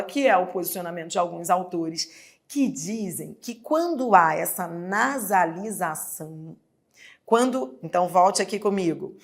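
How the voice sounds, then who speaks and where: A woman with a Brazilian Portuguese accent teaches a recorded lesson. Her voice sits in a middle pitch, and she explains patiently. She keeps checking that the viewer follows along. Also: a bit bright. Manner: explanatory, addresses viewer directly, frequent "né?" checks. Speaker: exam prep teacher.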